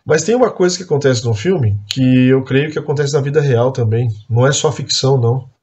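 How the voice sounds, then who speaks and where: A man's voice: mid-range voice, brisk, darkish tone, highs cut off. A man from Brazil teaching an adult Sunday school class in a conversational, admonishing way, dotting his talk with tag questions and fillers. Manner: earnest, admonishing. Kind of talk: church Bible class teaching.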